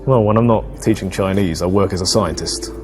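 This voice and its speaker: A man's voice: low-pitched, brisk, music in the background, natural voice. An actor playing an eager, earnest man chatting up a woman in casual date talk, hesitant and repeating his words. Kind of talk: acted casual date chat.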